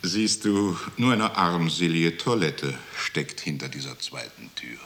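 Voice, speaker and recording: An actor playing a cold, mocking bureaucrat in a 1960s German radio drama, giving condescending, matter-of-fact instructions. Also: male voice, low-pitched, a little slow, fairly bright tone. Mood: businesslike, callous. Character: cold, mocking male bureaucrat of a deportation office. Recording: 1960s radio studio recording.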